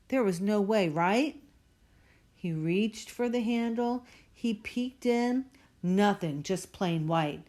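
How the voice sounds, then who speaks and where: Slow, dramatic woman reading a children's story. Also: lowish voice, darkish tone. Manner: dramatic, drawn-out emphasis on spooky words. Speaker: storybook reader. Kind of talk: expressive children's story read-aloud.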